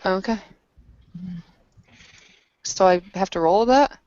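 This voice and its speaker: A woman's voice: medium pitch, slow, darkish tone, clear, resonant tone, dry recording, lo-fi sound. A woman chatting casually as a player in a tabletop roleplaying game.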